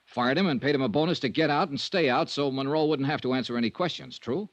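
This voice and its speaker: A man playing a brisk, dogged, wry insurance investigator in a 1950s radio detective drama. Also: medium pitch, no room sound, highs cut off, close to an everyday voice. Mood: pointed. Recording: old radio drama recording.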